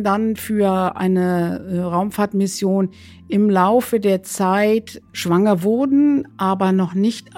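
A woman speaking as an expert flight physician in an interview, explaining haltingly and repeating words for emphasis. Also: medium pitch, creaky, mellow.

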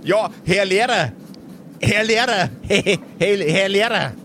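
A man voicing a halting, repetitive country schoolboy in ninth grade for a tabletop role-playing audio play. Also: sits high, sharp tone, a little hiss or hum, pitched above the performer's own voice, exaggerated voice. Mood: eager, halting. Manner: halting, repeats himself. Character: sluggish rural ninth-grade boy in class.

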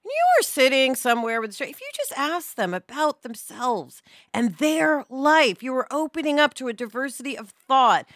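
Female co-host, American. Very expressive pitch, chatty and digressive, close dry sound. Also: moderate pace, no room sound. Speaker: podcast co-host. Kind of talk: casual chat with some scripted intro.

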